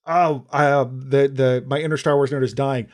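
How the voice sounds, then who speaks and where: Laughing, animated podcast co-host man. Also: medium pitch, normal speed. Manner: animated, laughs often, acts out quotes. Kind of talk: casual chat reviewing a movie.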